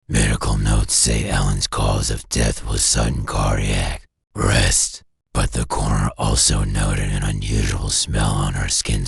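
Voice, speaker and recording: Man, horror narrator, rock-bottom pitch, very husky rasp, ominous, deliberate clipped phrasing. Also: no room sound, studio quality. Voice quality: gravelly, husky. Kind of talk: scripted analog horror narration, second-person.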